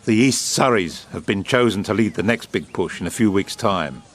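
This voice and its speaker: Man playing a low-voiced, suave British wartime official. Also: animated. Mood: proud, assured. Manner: urbane, eloquent, measured, grandiloquent. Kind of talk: period audio drama acting.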